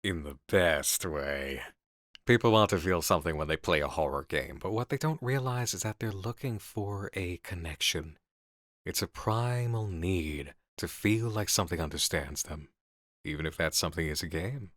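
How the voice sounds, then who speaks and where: Man acting a deep, seductive game developer. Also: expressive, a bit bright, no room sound, studio quality. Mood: assured, intense. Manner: deliberate, philosophical, intimate. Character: seductive, intense male horror game developer, musing and assured. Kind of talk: ASMR roleplay voice acting.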